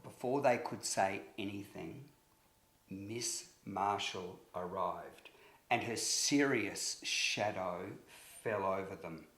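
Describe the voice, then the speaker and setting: A man narrating a scripted children's story in an expressive, deliberate way, with emphatic phrasing for young listeners. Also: deep voice. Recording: roomy, echoing sound.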